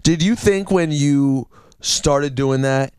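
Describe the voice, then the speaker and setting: A man's voice: fairly high pitch, slow, darkish tone, clean tone, dry recording. A man hosting a radio interview, joking and asking blunt questions. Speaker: radio host. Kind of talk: casual interview.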